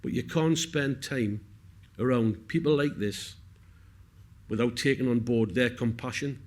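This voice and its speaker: A man's voice: medium pitch, measured pace. A man with a North East English accent, speaking earnestly and emphatically at a public Q&A, repeating words for weight. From north-east England. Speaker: film cast member. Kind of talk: heartfelt speech at a public Q&A. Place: on stage at a cinema Q&A.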